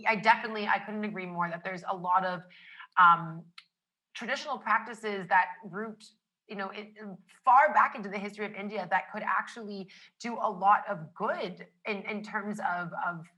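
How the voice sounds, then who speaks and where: Bright-toned woman podcast host. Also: medium pitch, moderate pace, poor audio. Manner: summarizing, enthusiastic, filler-heavy. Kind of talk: casual podcast interview.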